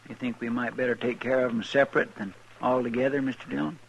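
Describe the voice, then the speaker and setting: An actor playing a loyal, drawling deputy. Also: male voice, mid-range voice, level tone, fast, gravelly, highs cut off, slight background noise, lightly acted. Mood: earnest. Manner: deferential, drawling. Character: loyal worried frontier deputy man. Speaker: marshal's deputy. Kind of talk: 1950s radio drama acting.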